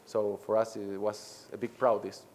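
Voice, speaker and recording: A man from Argentina whose first language is Spanish, a head coach answering press questions in halting English with many pauses. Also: on the low side, level tone, a little mellow.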